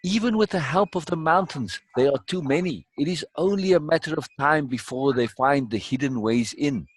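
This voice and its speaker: A man's voice: a little high, normal speed, breathy edge, no room sound. A man voicing an earnest, awed young boy, a student in a fantasy story. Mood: worried.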